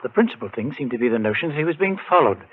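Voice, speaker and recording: An actor playing a troubled, reserved bereaved brother in a 1940s radio drama, speaking in a measured, formal, understated way. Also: male voice, medium pitch, lively intonation, husky. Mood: grave, measured. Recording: narrow, tinny 1940s radio broadcast.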